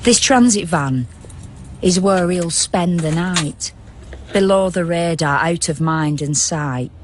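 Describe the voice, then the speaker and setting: Radio drama narrator played by an actor: solemn, all-knowing, unhurried poetic delivery, ambient noise underneath. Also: medium pitch, close to an everyday voice. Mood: measured, knowing. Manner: measured, rhythmic verse delivery. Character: solemn omniscient storyteller of a city at night.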